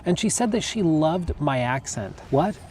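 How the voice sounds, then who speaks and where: A young man with an American accent talks in a loose, rambling way, leaning on fillers as he reads through game lore. His voice sits a little high. Faint noise hums behind him. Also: lively intonation, measured pace, dark tone. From United States. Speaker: podcast co-host. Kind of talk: casual chat reading lore.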